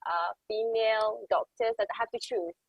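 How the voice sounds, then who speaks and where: A woman's voice: on the high side, normal speed, creaky, slightly rough, dry recording, poor audio. Upbeat woman host. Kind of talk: casual interview chat.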